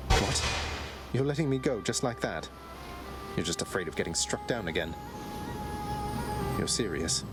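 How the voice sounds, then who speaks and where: An actor voicing a defiant, earnest young prince of the underworld in a video game, brash and full of questions. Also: male voice, on the low side, expressive, normal speed, long pauses, close to an everyday voice. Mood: startled disbelief. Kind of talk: video game character acting. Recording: loud music over the voice.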